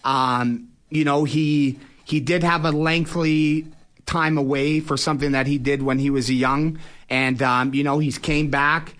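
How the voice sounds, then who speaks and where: A man's voice: fairly high pitch, steady tone, measured pace, a bit bright. A man, talking colloquially as a guest in a casual interview, often saying "you know". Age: early forties. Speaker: boxer and fight promoter, interview guest.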